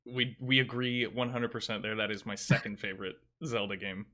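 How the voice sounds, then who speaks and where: A man's voice: on the low side, level tone, fairly quick, bright, forceful tone. A man in his late twenties hosting a casual interview, upbeat and encouraging, with a few hesitant fillers. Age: 20s. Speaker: interviewer, host.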